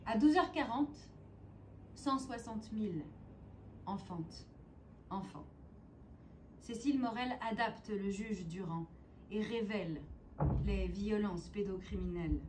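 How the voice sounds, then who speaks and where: A woman performing a spoken-word poem in a theatrical, declamatory way, with deliberate phrasing, dramatic pauses and emphasis. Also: medium pitch, a little mellow, slight background noise, low-quality recording. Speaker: poet and stage performer.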